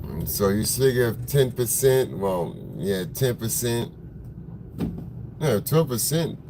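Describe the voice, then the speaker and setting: A man's voice: medium pitch, lively intonation, takes it slowly. Chill guy w a smoky smoker's voice, rambling on a live stream from his car, faint road hum. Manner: laid-back, rambling, slangy, greets viewers by name. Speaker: photographer hosting a livestream. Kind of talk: casual livestream chat with viewers. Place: in a car in traffic. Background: faint vehicle noise.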